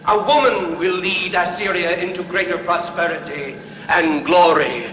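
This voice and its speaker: A solemn oracle priest delivering a god's prophecy. His high, slow, declamatory voice rings out in a long echoing reverb. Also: pushed and bright. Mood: solemn, prophetic. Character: oracle priest speaking for a great god. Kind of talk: dubbed historical epic film acting. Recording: echoing hall reverb, faint noise.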